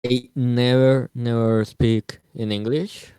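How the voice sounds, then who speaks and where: A young man from Mexico with a Mexican Spanish accent, chatting casually and pausing to search for words. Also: mid-range voice, level tone, dark tone, clean tone, dry recording. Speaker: podcast co-host. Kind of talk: casual chat.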